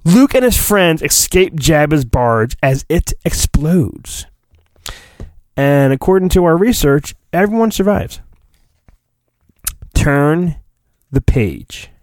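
A man's voice: on the high side, lively intonation, takes it slowly, long pauses, a little mellow, no echo, clean studio sound. A man hosting a podcast, doing a comedic dramatic storybook reading in a hammy way, breaking off for joking, profane asides. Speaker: podcast host.

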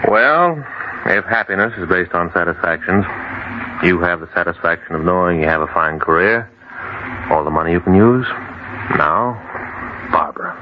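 A good-natured, sensible young friend, earnest and chiding in 1940s radio style. The voice is deep and lively in pitch, on a noisy old recording. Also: male voice, normal speed, long pauses, dull audio. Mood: earnest, amiable.

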